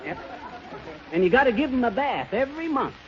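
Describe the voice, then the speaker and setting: A man's voice: very high voice, sing-song, brisk, a loud crowd. An actor playing a cocky, bumbling married man with folksy, ungrammatical talk in a 1930s radio comedy. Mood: blustering, unsure. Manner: ungrammatical folksy talk, stammering bluster, chuckling. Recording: noisy muffled 1930s radio recording.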